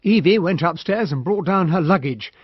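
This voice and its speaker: An actor playing a courteous British army officer in a period radio drama, with a genteel, expressive way of speaking. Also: male voice, high voice, moderate pace, slightly rough, no echo, lo-fi sound. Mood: reflective. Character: courteous, observant army officer narrating a country-house mystery.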